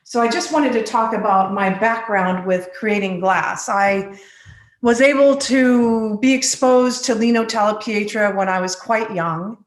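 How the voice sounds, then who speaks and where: A woman from the Bahamas and Virgin Islands, a glass artist giving a casual guided tour in an informal, halting way with pauses between phrases. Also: medium pitch. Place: living room of a home studio. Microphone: phone on a selfie stick. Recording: echoey room, live video stream.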